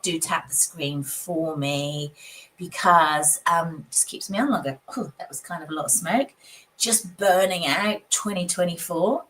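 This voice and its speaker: A woman who is husky from a cold, chatting casually with viewers as a psychic livestream host in her home office. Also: medium pitch, rough recording. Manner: chatty, warm greetings, frequent little laughs.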